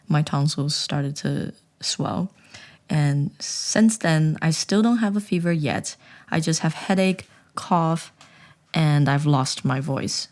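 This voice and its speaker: Young woman, low register, animated and self-mocking, casual vlog delivery. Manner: rambling, with many restarts. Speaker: vlogger. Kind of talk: casual storytelling chat.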